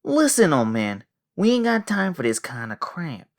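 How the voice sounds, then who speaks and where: A woman's voice: low voice, sing-song, moderate pace. A woman voicing a cocky, impatient, mouthy young street boy in a comic dub, sneering and slangy. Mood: dismissive. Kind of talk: comic dub character acting.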